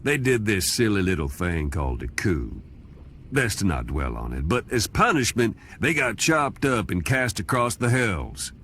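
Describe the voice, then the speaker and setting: Deep-voiced demonic storyteller. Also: male voice, very animated, a little slow, slight background noise. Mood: wry, amused. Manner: folksy, drawling, wry. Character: wry folksy demonic narrator telling an infernal legend. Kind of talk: scripted storytelling narration. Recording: loud music behind the voice. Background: loud music.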